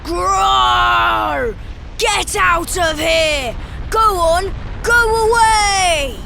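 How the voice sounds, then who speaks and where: A woman voicing a childish little game monster that poses as a fearsome beast, with a creaky voice and halting, blustering delivery. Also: pushed and bright, shouting, a little hiss or hum, exaggerated voice. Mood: blustering menace. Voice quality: creaky, high-pitched. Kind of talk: cartoonish video game voice acting.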